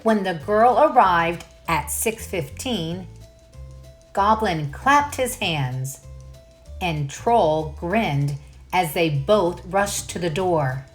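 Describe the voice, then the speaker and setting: Slow-paced woman reading to children. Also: lowish voice, lively intonation, faint music behind. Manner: unhurried, addresses the listener with questions. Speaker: children's read-aloud host. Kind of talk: storybook read-aloud with asides to young listeners.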